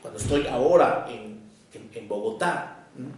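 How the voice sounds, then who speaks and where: A man with a Mexican accent giving a prepared lecture in a deliberate, didactic way, with emphatic pauses. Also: mid-range voice, animated. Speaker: lecturer on psychoanalysis. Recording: echoey room, distant microphone.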